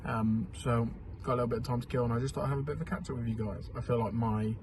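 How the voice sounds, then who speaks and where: A man's voice: mid-range voice, steady tone, very fast, noisy background. A 21-year-old man, talking candidly and rambling in a casual vlog diary while ill with a sore throat and sniffles. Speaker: vlogger.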